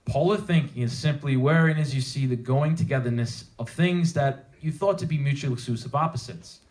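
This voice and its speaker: A man's voice: mid-range voice, a little fast, darkish tone. A man presenting a technical talk to a live audience, speaking informally and emphatically with rhetorical questions. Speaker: conference speaker. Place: theater at a developer meetup.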